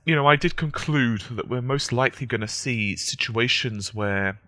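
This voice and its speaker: A man with a croaky, just-woken-up voice, giving casual, analytical gaming news commentary. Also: medium pitch, moderate pace, pushed and bright, no echo. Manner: conversational, analytical.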